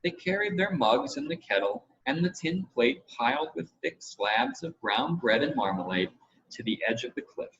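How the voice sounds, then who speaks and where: A man reading a children's story aloud as scripted audiobook narration, in an expressive, measured way. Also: medium pitch, breathy edge. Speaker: audiobook reader. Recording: dull audio with faint noise.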